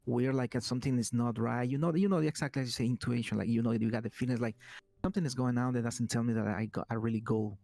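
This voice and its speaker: Gravelly Ecuadorian-accented man on a call. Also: medium pitch, steady tone, dark tone. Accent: Ecuadorian Spanish, from the highlands. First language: Spanish. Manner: halting, searches for words. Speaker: caller. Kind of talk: casual chat.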